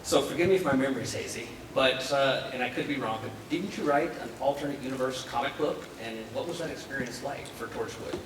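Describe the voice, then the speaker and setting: Fast-talking man in an echoey room. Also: mid-range voice. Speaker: audience questioner. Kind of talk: polite, casual audience question. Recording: echoey noisy room, distant from mic.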